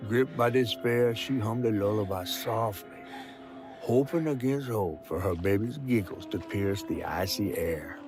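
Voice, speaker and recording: Folksy Southern swamp storyteller over music. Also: male voice, fairly low pitch, a little slow. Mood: grave, mournful. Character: folksy Southern male storyteller of swamp legends, knowing and grave. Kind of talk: dramatic storytelling for a video game.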